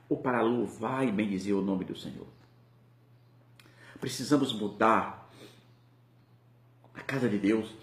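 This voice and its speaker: A man's voice: lowish voice, roomy sound. A man with a Brazilian Portuguese accent preaching a sermon with impassioned, rising and falling delivery.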